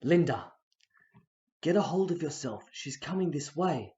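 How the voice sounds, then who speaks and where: A woman's voice: low-pitched, expressive, unhurried, dark tone. A woman playing a reassuring, firm, affectionate young husband in an audio play. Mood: alarmed, firm. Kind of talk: amateur audio play acting. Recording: dull, muffled lo-fi.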